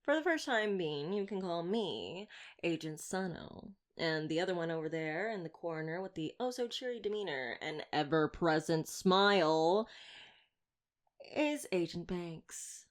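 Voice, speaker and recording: Woman as flirtatious female vampire hunter, lowish and very animated, wordy and playfully theatrical, for roleplay audio. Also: unhurried. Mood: teasing. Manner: wordy, playful, teasing, mock-grand delivery. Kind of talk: ASMR roleplay audio drama addressed to listener.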